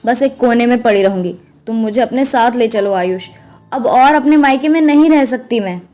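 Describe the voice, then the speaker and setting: A woman's voice: a little high, normal speed, dark tone, faint music behind, natural voice. A woman playing a lovesick young second wife in a cartoon story, pleading earnestly. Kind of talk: Hindi cartoon story acting.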